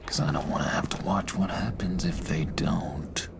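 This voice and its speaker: A man playing a needy, unhinged misfit in a video game, gushing and halting as he pleads for friendship. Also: deep voice, steady tone, creaky, in a whisper. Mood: eager, nervy. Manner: gushing, halting, giggly, swinging between chirpy and hushed.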